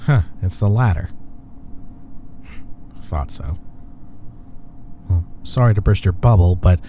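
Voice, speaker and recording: A man acting as a cocky, sharp-tongued, flirtatious office rival in an intimate audio roleplay, with a smug, sarcastic way of talking. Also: low-pitched, lively intonation, a little hiss or hum. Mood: sarcastic, annoyed. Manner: smug, sarcastic, drawn-out taunting.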